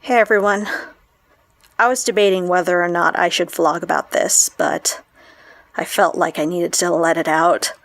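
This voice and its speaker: An actress playing a lonely, troubled young woman who confides in her vlog, with a husky, emotional, halting delivery in an audio drama. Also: fairly low pitch, steady tone, fairly bright tone, no echo. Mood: grieving. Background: music.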